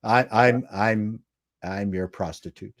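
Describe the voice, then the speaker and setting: A man's voice: fairly low pitch, takes it slowly, no echo, studio quality. A man on a panel chatting casually and telling anecdotes.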